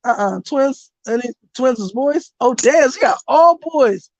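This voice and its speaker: A woman running a casual livestream as a spiritual reader, blunt and profane, with a voice that is going hoarse. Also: sits high, measured pace, highs cut off. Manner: blunt, profane and animated, talks to her chat. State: losing her voice.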